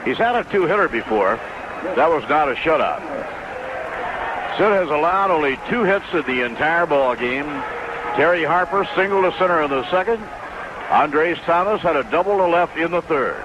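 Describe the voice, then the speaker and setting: A man's voice: on the high side, lively intonation, normal speed. A man announcing baseball play-by-play and game statistics on the radio. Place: stadium broadcast booth. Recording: dull noisy vintage AM radio.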